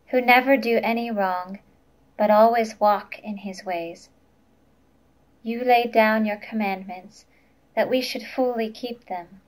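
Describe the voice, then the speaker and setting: A woman in her twenties gives a scripture reading. She goes very slowly, with a careful, plain delivery in a mid-range voice. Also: mid-range voice, low-quality recording. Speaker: lay reader.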